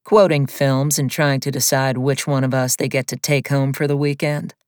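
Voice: medium pitch, little pitch movement, normal speed, no echo, studio quality, lightly acted. A narrator voicing a wry, composed young woman flight attendant in an audiobook. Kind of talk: audiobook character voice.

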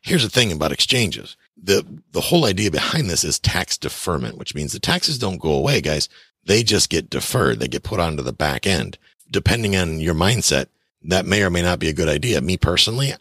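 A man's voice: sits low, big pitch swings, brisk, a bit bright, slightly rough, no echo, clean studio sound. A man in his fifties hosting a podcast, giving blunt, chatty advice on real estate and taxes straight to his listeners. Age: 50s. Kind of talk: casual advice monologue.

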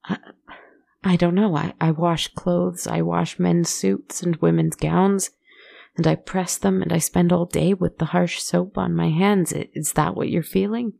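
A storyteller voicing a frightened young working girl, answering in a raised, stammering, anxious voice that stays gravelly. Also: mid-range voice, level tone, measured pace, darkish tone, pitched above the performer's own voice.

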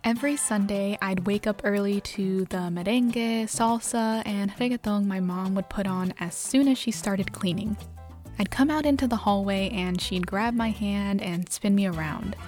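A woman's voice: mid-range voice, moderate pace, a little mellow, faint music behind. A young woman from New York, a journalist, reading a reflective, matter-of-fact personal narration. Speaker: journalist and podcast apprentice.

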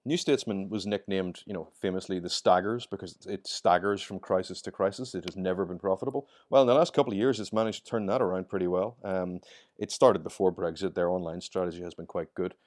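A man's voice: low-pitched, fairly even intonation, fast talker, a little mellow. A man in his forties with an Irish accent, a guest commentator talking at length in a podcast discussion, often repeating words as he starts a sentence. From Ireland.

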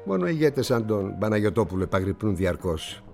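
Older male publisher for radio drama: cynical, patronizing, very animated pitch, music behind. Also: creaky. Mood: lecturing. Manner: theatrical, patronizing, with musing pauses. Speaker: actor.

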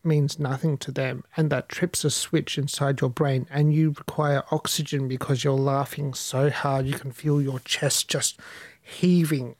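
A man's voice: fairly high pitch, dry recording. A man, a podcast guest reminiscing in a chatty, vivid way. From Australia. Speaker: podcast guest, old classmate. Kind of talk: casual chat.

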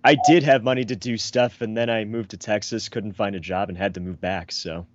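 A slightly hoarse man co-hosting a podcast, chatting casually in a halting, rambling way. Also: lowish voice, steady tone, noisy background. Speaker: podcast co-host. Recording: dull, dry, lo-fi voice track.

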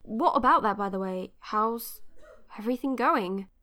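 A woman's voice: a little high, lively intonation, moderate pace, pushed and bright. A woman playing a supportive, teasing tech expert in a naturalistic audio drama, with a casual, wry way of talking. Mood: friendly, curious.

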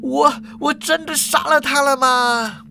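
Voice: medium pitch, sing-song, a little slow, a bit bright. A storyteller voicing a flustered, self-righteous old male school principal who wheedles and blusters, in an audio story. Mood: panicked. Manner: wheedling apologies, indignant blustering.

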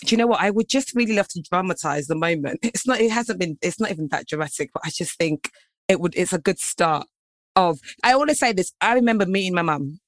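A British woman chatting casually as a co-host, telling stories in an animated, informal way. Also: lowish voice, fast, dry recording. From England, early childhood in Nigeria. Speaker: podcast co-host. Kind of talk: casual chat.

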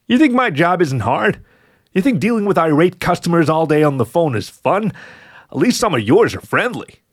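A man's voice: on the high side, very animated, measured pace, fairly bright tone, pitched above the performer's own voice, heavily acted. A man voicing a cheeky, flirty male fox who gets defensive and stammers playfully in an audio story. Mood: annoyed. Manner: stammering, hesitant when embarrassed, playful.

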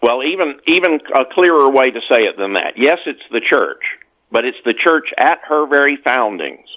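Man explaining haltingly, in conversation. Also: mid-range voice, sing-song, bright, forceful tone, low-quality recording. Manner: halting, with repeated self-corrections. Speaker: author, podcast guest.

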